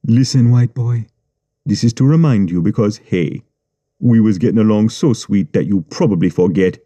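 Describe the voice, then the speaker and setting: A man voicing a menacing Jamaican gang boss who speaks in calm, mocking patois, read for an audiobook. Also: lowish voice, moderate pace, close to an everyday voice. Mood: threatening. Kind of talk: audiobook character reading.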